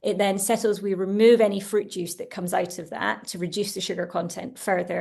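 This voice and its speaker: A woman speaking on a webinar panel about her food brand, her voice low and edged with gravelly fry. She talks chattily and eagerly, often restarting sentences with fillers. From Italian household. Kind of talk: casual panel discussion. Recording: webinar over video call.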